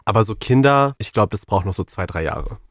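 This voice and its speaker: A man's voice: on the low side, animated, brisk, dry recording, studio quality. A young man co-hosting a casual podcast, laid-back and often dropping English phrases into his talk. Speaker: podcast co-host. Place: studio.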